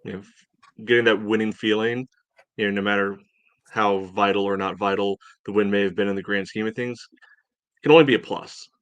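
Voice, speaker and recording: A man with a lowish voice co-hosts a sports podcast in a dry-sounding recording. He speaks casually and conversationally, offering measured opinions. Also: fairly even intonation, fairly bright tone, clean tone, no echo.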